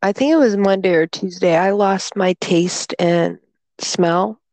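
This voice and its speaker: A woman chatting casually, slowly telling a rambling story about being ill, still sounding congested. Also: fairly low pitch, steady tone, a little mellow, no room sound. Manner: rambling storyteller, slow and drawn-out. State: congested, recovering from illness. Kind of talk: casual chat.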